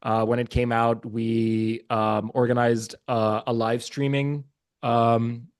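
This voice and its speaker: A man's voice: mid-range voice, flat delivery, slow pace, smooth, dry recording, studio quality. A man from Pakistan whose first language is Urdu, a podcast guest talking casually and earnestly, with many fillers and restarts. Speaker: guest, watch market research business developer. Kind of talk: casual interview chat.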